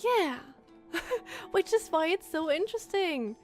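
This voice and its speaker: A woman's voice: extremely high pitch, lively intonation, a little slow, faint music behind. A woman streaming a game with casual, enthusiastic and reactive commentary.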